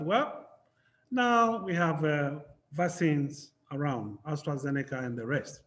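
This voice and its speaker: A man from Ghana with a Ghanaian accent, giving a measured, explanatory academic panel talk over a video call. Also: fairly high pitch. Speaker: panel speaker. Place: home in Ghana.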